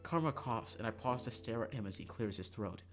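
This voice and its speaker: Livestreamer narrating a visual novel, expressive and sweary, mid-range pitch, music underneath. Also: a little fast. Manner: expressive, breaks into exasperated profane asides. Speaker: streamer. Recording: music behind the voice. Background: game music.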